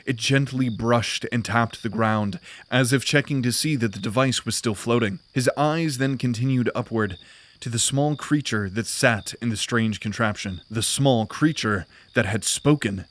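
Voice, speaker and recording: A man narrating a scripted fantasy audio drama in a deliberate, grave storytelling manner. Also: mid-range voice, a bit bright.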